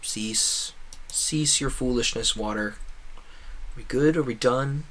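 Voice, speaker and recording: A man giving casual, rambling commentary as a streamer, animated and jokey, often interrupting himself and muttering asides in his native English. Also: medium pitch, takes it slowly, rough recording. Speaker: gaming streamer.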